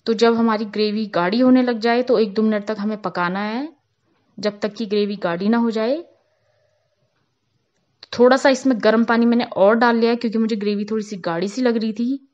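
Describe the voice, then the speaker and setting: Cooking channel host, woman, higher voice, calm step-by-step voice-over, slightly muffled lo-fi sound. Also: moderate pace. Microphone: voice-over, not on camera.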